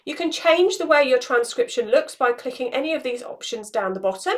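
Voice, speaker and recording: A woman's voice: high voice, lively intonation, a little fast. A woman around her forties hosting a casual instructional walkthrough, explaining things step by step. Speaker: social media tutorial host. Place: small indoor room.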